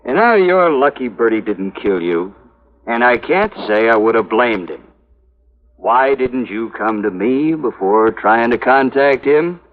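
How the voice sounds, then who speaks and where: An American actor playing a gruff, bossy police sergeant in a 1950s radio drama, brusque and condescending. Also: male voice, mid-range voice, expressive, measured pace, close to an everyday voice. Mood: brusque, scolding. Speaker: actor in a supporting role. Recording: tinny old radio, faint noise.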